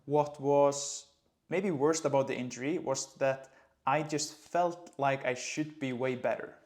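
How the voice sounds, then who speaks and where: A man in his late twenties from Iceland, a running coach speaking English with an Icelandic accent in a relaxed, conversational vlog. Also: mid-range voice, measured pace. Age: late 20s. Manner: relaxed, conversational, light laughs. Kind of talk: casual vlog talk to camera.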